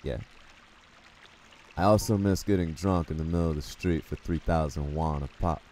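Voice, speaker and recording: A man's voice: very deep voice, normal speed, mellow, a little hiss or hum. A man from the United States chatting casually with viewers while he plays a game, rambling and poking fun at himself. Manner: rambling, self-deprecating, reads chat aloud. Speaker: streamer.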